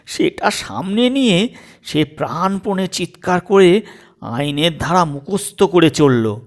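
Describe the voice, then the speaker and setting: Slow, expressive man narrating a story. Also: sits high. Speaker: story reader. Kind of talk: dramatized comic audio story narration.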